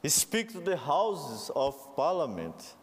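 A man's voice: on the high side, very animated, slow pace, long reverb tail. A man from Brazil with a Brazilian Portuguese accent, a native Portuguese speaker, preaching a sermon and rising to fervent calls to the congregation.

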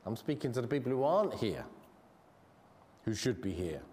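English preacher in a reverberant hall. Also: male voice, medium pitch, sing-song, darkish tone. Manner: earnest, conversational, with frequent restarts and slow emphasis. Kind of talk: sermon. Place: church.

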